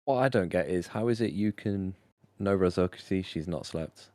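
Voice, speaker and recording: A man with a British accent and a low voice chats as he games with friends. He is argumentative and needles people, and the sound is close and dry. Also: normal speed, darkish tone, no room sound. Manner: teasing, argumentative. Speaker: gamer friend on a voice call. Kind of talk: casual banter over a game stream.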